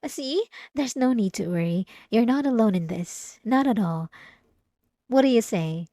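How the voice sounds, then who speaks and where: A woman playing a sweetly devout, possessive young church girl in a scripted ASMR roleplay, soft-spoken and halting, with sugary politeness and an unsettling edge. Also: fairly high pitch, lively intonation, dry recording. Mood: sweet, solicitous. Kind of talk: scripted ASMR roleplay monologue addressed to the listener.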